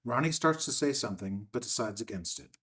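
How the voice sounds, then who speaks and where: A man's voice: medium pitch, expressive, a little fast, a bit bright. Matter-of-fact male script narrator. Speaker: stage directions narrator.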